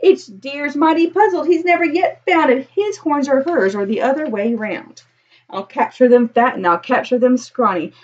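An American woman in her thirties reads a picture book aloud to children, expressively and with drawn-out emphasis. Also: high-pitched, husky, lo-fi sound. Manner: animated storytelling with drawn-out emphasis. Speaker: elementary school teacher reading aloud. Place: small room at home with bookshelf.

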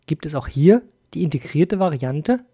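A man's voice: on the high side, lively intonation, moderate pace, mellow, husky. A man hosting a video as an informal review voice-over, speaking directly to viewers. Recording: music behind the voice. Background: music.

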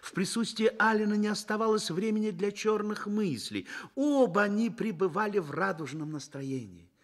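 A man reading scripted literary narration for a Soviet radio drama in an expressive, measured storytelling manner. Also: high-pitched.

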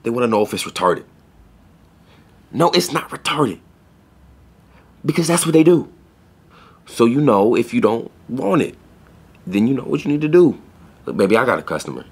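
A man's voice: mid-range voice, big pitch swings, normal speed, pauses often, darkish tone, slightly rough. A young man playing an earnest, guarded pet store clerk in a naturalistic audio drama, with blunt, slangy, hesitant phrasing. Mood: uneasy, reassuring. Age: young adult.

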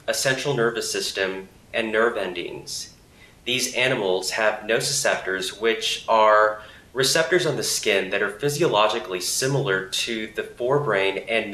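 A man in his thirties speaks with earnest conviction, answering interview questions as an advocacy coordinator. His voice is bright and forceful, a little low for a man. The office room around him adds a roomy echo. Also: a little slow, slight background noise. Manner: earnest, assured advocacy talk. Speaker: campaign coordinator for an animal advocacy group. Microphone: handheld interview mic.

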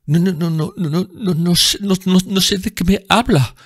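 A cornered young gentleman from a storybook, voiced by a man, stammering and frantic. The voice is pushed far up into a strained high register with a raspy edge. It sounds a little set back from the mic. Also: normal speed, no room sound, studio quality. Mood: terrified. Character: panicked young gentleman, cornered, then cold.

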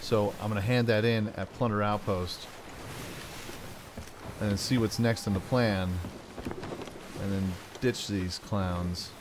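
A man's voice: on the low side, fairly even intonation, slow pace, pauses often, background noise. A man hosting a gaming video, thinking aloud in casual, playful commentary with frequent exclamations. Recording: game music under the voice. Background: game music.

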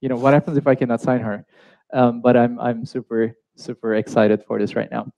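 A man's voice: medium pitch, little pitch movement, fairly quick, creaky, warm tone, dry recording, rough recording. A young man hosting a live online coding course, chatty, with fillers and restarts. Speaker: course host.

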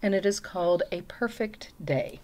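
A middle-aged woman reading a picture book aloud as a storytime reader, with chatty asides and expressive, drawn-out emphasis. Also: medium pitch, a little hiss or hum. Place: small room at home.

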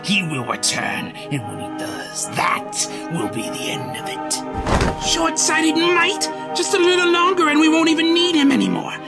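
A woman voicing a scheming, menacing styrofoam doughboy that sneers and commands in a creaky voice. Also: extremely high pitch, very animated, measured pace, over loud music, exaggerated voice. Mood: sneering, menacing.

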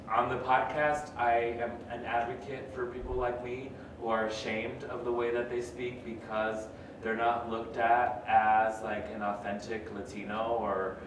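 A young man whose first language is Spanish, a podcast host answering audience questions at a live event, chatty and animated with many fillers. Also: fairly low pitch. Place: large gallery hall with audience. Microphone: table mic heard from far off. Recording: echoey hall, far from mic, noise.

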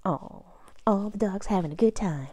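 A woman reading a children's picture book aloud in a bilingual read-aloud style, expressively and deliberately, phrase by phrase. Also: medium pitch, mellow, breathy edge, no room sound, clean studio sound.

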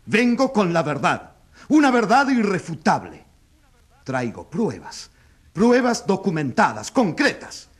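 An actor playing a combative, undaunted newspaper editor making an accusation in a radio drama, with emphatic, oratorical delivery. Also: male voice, high voice, very animated, measured pace, slightly rough, full character voice. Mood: defiant, emphatic.

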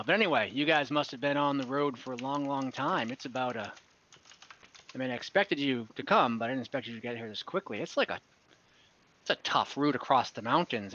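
A man's voice: medium pitch, sharp tone, slight background noise. Man voicing a chatty port merchant. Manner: chatty, easygoing, talks shop. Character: friendly, businesslike male merchant who owns a port. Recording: dull lo-fi video call audio.

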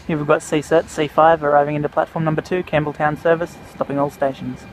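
A man's voice: on the high side, level tone, fast, music in the background. A man with an Australian accent, giving casual vlog commentary on a station platform and naming the trains in a matter-of-fact way. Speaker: train vlogger. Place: outdoor railway station platform. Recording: outdoor camera audio with train noise. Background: passing trains and station noise.

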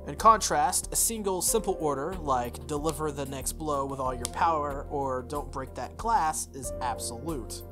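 A sardonic male warrior servant, read by a game player, lectures in a condescending, explanatory manner. The mid-pitched visual novel dialogue plays over music. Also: normal speed, hardly any pauses, close to an everyday voice. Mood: condescending, lecturing. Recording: music under the voice.

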